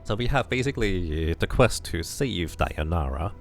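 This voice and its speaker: A man streaming a game, mixing casual commentary with expressive read-aloud narration. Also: deep voice, normal speed, creaky, faint music behind.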